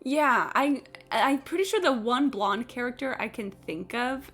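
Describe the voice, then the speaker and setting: A woman's voice: a little high, a little slow, fairly bright tone. A woman livestreaming a game, chatting casually and opinionatedly in a blunt, sweary, incredulous ramble. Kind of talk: casual opinionated chat while gaming.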